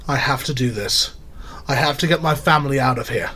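A man's voice: medium pitch, brisk, background noise, lightly acted. A man playing a decent, anguished working-class family man in an audio drama, earnest, with a British accent. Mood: resolute.

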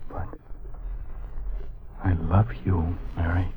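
Very deep husky dazed guilty husband. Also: male voice, level tone, takes it slowly, faint music behind, heavily acted. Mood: grieving, numb. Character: dazed, guilt-ridden husband who killed his wife. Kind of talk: 1950s radio crime drama acting. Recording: dull vintage radio recording.

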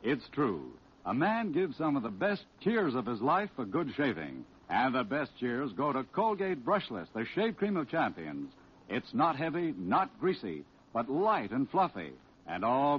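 A man's voice: mid-range voice, huge pitch swings, moderate pace, breathy edge. A man announcing a 1940s radio commercial with an enthusiastic salesman's delivery. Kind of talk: 1940s radio advertising pitch. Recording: dull vintage 1940s radio recording.

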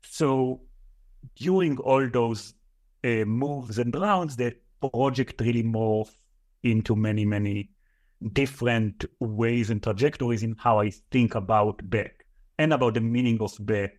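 A man's voice: medium pitch, a little slow, darkish tone. A man in his thirties with an Israeli accent, a guest scholar answering interview questions thoughtfully, with many fillers. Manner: thoughtful, listing at length with fillers.

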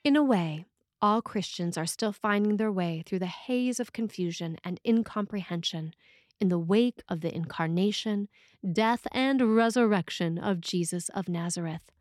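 A woman reads a prepared sermon in a dry, echo-free recording. Her delivery is measured and expressive, with the deliberate phrasing of a priest. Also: medium pitch. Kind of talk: scripted sermon, read aloud.

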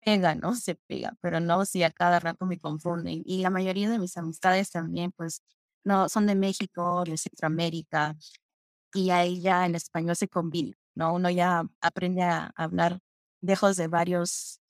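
A young woman from Peru telling stories about her childhood in a relaxed interview, slipping in English phrases. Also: on the low side, moderate pace, dry recording. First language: Spanish. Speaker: guest. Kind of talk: conversational interview.

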